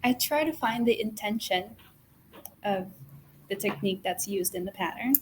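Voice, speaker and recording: A woman's voice: mid-range voice, moderate pace, a little hiss or hum. A woman knitwear designer whose first language is Japanese, answering interview questions thoughtfully and modestly. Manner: thoughtful, modest, gentle laughs. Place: yarn shop with a small gathering.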